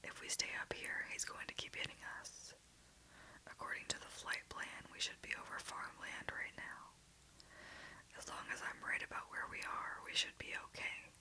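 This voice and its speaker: A woman's voice: moderate pace, bright, forceful tone, low-quality recording. A woman whispering the part of a frightened young woman pilot who swears and panics. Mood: determined. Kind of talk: whispered ASMR storytelling.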